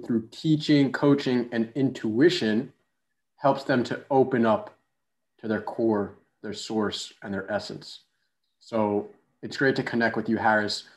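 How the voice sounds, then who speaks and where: A man hosting a casual podcast interview in a relaxed, easygoing way. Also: medium pitch. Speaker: podcast host.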